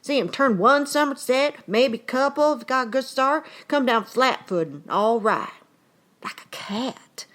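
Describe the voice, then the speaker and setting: A woman's voice: high voice, expressive, fairly bright tone. A woman performing a comic short story as a rambling, folksy old-time yarn-spinner, with an earnest, conversational manner. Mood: excited, admiring. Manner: folksy, drawn-out, conversational storytelling in rustic dialect. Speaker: actor reading aloud.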